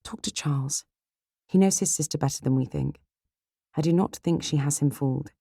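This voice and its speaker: A woman voicing a witty, candid, level-headed young Regency heroine in an audiobook, poised and measured. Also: sits low, dark tone, slightly rough, no room sound, studio quality, voice pushed up. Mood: earnest.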